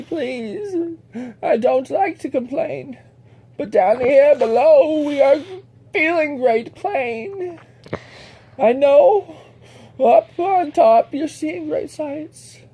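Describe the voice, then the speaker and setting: A woman's voice: high voice, animated, slow, mellow, pitched above the performer's own voice, full character voice. Woman doing a meek, pleading little turtle. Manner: pleading, groaning. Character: meek, suffering small male turtle.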